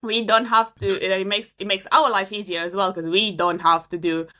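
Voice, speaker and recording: A woman's voice: medium pitch, fairly even intonation, normal speed, crowd noise. A young woman from Romania, an astrophysicist, answering interview questions casually with many fillers and restarts. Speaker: astrophysicist guest.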